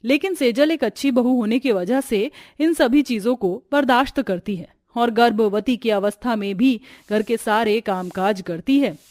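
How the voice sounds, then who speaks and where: A woman's voice: a little high, normal speed, few pauses, darkish tone, no room sound, studio quality. A woman narrating a scripted cartoon story as a storyteller.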